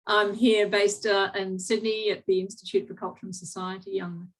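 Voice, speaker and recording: A woman's voice: mid-range voice, flat delivery, moderate pace, low-quality recording. A woman researcher greeting everyone enthusiastically on a video call. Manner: warm, enthusiastic.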